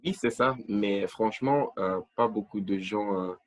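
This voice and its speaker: A man's voice: lowish voice, unhurried, a crowd in the background. A young man from Nigeria, a podcast guest, talking hesitantly with many restarts and fillers. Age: young adult. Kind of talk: casual interview chat.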